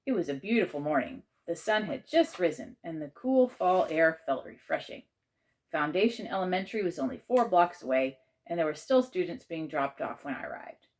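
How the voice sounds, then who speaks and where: A woman reading a children's chapter book aloud in measured, expressive narration. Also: deep voice.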